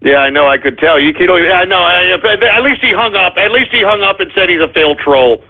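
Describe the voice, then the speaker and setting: A man hosting a call-in radio show, brash and excitable, hurling insults at callers and often yelling. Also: high-pitched, a little fast. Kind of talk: loud ranting call-in banter. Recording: tinny lo-fi stream audio.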